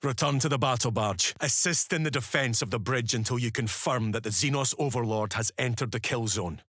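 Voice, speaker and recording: An actor playing a stern space marine captain in a war video game, giving clipped, authoritative orders in a formal military manner. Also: male voice, medium pitch, fairly quick, a bit bright, no echo, studio quality, close to an everyday voice. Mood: commanding. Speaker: military commander. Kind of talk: dramatic video game voice acting.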